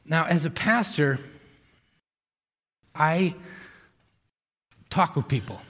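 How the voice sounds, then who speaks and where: A man from Massachusetts leads a sermon in a loose, joking way, often breaking off and restarting his sentences. His voice sits a little high for a man. The church sanctuary gives his voice a long, lingering reverb. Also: lively intonation, slow pace, a bit bright. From Massachusetts, USA. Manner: casual, joking, self-interrupting preacher. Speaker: pastor. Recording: hall reverb.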